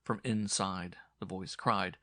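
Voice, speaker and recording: A man's voice: low-pitched, steady tone, slow pace, pushed and bright, no echo. A man giving a dramatic scripted narration of a horror story as an audiobook narrator.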